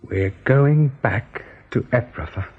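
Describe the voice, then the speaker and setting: Very husky rabbit chief. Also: male voice, medium pitch, phone-quality sound. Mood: brooding, resolved. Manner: earnest, commanding, deliberate persuasion. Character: determined male rabbit chief, earnest, persuasive leader. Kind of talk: radio play acting.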